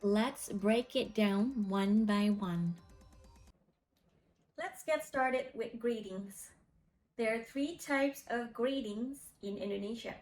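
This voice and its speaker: Woman in her 30s, language teacher, very slow deliberate delivery, lapel mic in an echoey room, soft music under. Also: fairly high pitch. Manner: slow, deliberate, demonstrating phrases. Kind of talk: scripted video lesson. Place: indoor studio with cloth backdrop.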